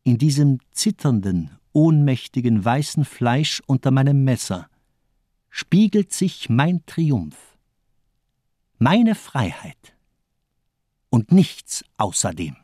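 Fanatical murderous doctor, swinging intonation. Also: male voice, medium pitch, very animated, slow pace, pauses often, warm tone, no room sound, clean studio sound. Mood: impassioned, cruel. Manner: rhetorical, grandiose, declaiming. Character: fanatical nihilist doctor, cold male torturer and murderer. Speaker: actor in a crime radio play. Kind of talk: dramatic radio play monologue.

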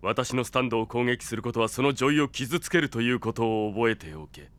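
An actor playing a smug, sinister young henchman in an audio drama, taunting with deliberate theatrical delivery. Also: mid-range voice, animated, clean tone, no room sound, close to an everyday voice. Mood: smug, threatening. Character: smug, sinister young male villain, coldly loyal henchman.

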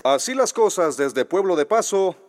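A man's voice: high-pitched, animated, normal speed, no room sound, clean studio sound. A man acting a vain, callous TV news reporter in a radio drama, bossy, glib and pompous. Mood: pompous, dramatic.

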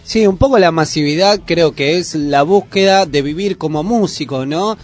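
Male panelist, fairly high voice, casual radio discussion. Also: normal speed, faint music behind.

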